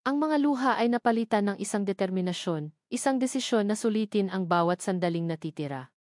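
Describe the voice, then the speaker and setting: A woman's voice: mid-range voice, animated, brisk, darkish tone, dry recording. Dry-sounding woman narrating a romantic drama. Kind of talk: dramatic scripted first-person narration of a Tagalog love story.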